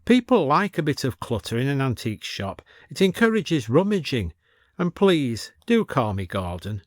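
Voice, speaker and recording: A man's voice: mid-range voice, big pitch swings, moderate pace, no room sound, clean studio sound, natural voice. Wry antique shop owner, read by a man. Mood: easygoing.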